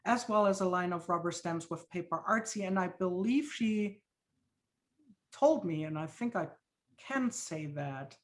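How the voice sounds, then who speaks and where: A woman from Germany with a German accent, hosting an art webinar over a video call in a casual, chatty way with many fillers. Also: fairly low pitch. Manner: chatty, with frequent fillers and laughs. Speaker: host. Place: home studio.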